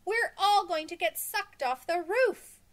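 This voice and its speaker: A woman voicing a panicky, excitable schoolgirl with a shrill, urgent way of talking in a storybook. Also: takes it slowly, heavily acted. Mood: panicked. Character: panicky, excitable schoolgirl shrieking about danger.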